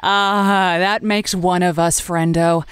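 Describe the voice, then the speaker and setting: A woman's voice: on the low side, fairly even intonation, slow pace, clean tone, dry recording. A woman playing a radio advice host, wry and frank, with hesitant reassurance in a call-in drama. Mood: exasperated, wry.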